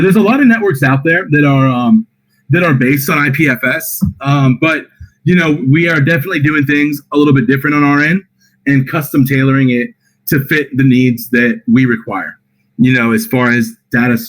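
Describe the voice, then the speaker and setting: A man with a mid-range voice and a slightly raspy, tired edge, talking into a computer mic on a group voice call. He reads each question aloud, then answers in a loose, relaxed way full of um and you know. His delivery stays casual and unhurried. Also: medium pitch, low-quality recording. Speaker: project representative answering community questions. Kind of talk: casual Q&A on a voice chat.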